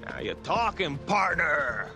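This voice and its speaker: An actor playing a cheeky, loud, matey young English best friend in a video game scene. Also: male voice, on the high side, big pitch swings, slow pace, music in the background, full character voice. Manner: bantering, matey.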